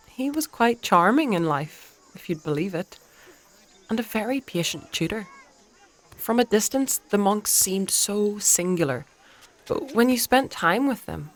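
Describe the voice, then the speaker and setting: A woman plays a composed priestess and mentor in a dark fantasy audio drama. Her mid-pitched voice is measured and articulate, with a playfully dry, teasing wit. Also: close to an everyday voice.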